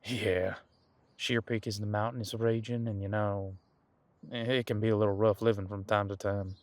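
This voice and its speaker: A man's voice: fairly low pitch, little pitch movement, normal speed, darkish tone, no echo, close to an everyday voice. A man playing an easygoing, thousands-of-years-old demon detective in an audio roleplay, chatting in a laid-back, folksy, musing way. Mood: relaxed, musing. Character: easygoing ancient male demon detective living on the coast. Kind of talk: conversational one-sided audio roleplay.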